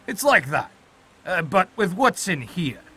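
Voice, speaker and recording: A woman voicing a huge, kindly old grandfather with a rough but soothing, teasing way of talking, in an audio mythology drama. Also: lowish voice, very animated, a little slow, fairly bright tone, voice pushed down, exaggerated voice. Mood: gentle teasing.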